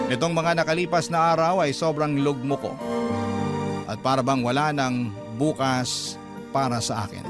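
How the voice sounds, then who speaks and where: A man with a Filipino accent, a radio host narrating a listener's letter as a dramatic story. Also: on the high side. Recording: loud music under the voice. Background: music.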